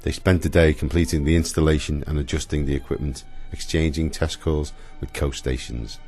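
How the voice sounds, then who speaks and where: A man's voice: rumbling low, fairly even intonation, a little fast, darkish tone, faint music behind. A man reading scripted historical narration for a radio play.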